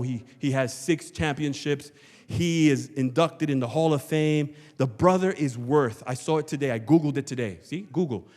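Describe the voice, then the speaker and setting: A male pastor preaching a church sermon, emphatic and rhetorical, repeating phrases for effect. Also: on the high side. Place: large church hall, before a congregation. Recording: long hall reverb, church sanctuary PA.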